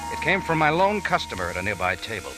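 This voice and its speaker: An actor playing a wry, world-weary American cafe owner narrating a 1940s radio adventure in a hard-boiled style. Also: male voice, fairly low pitch, big pitch swings, fast talker, over music, low-quality recording. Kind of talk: 1940s radio acting, first-person narration.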